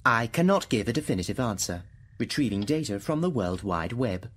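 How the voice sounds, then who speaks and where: An actor playing a logical, unfeeling machine intelligence in a radio drama, answering in clipped, formal, computer-like phrases. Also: male voice, mid-range voice, lively intonation, a little fast, slightly rough. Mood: matter-of-fact.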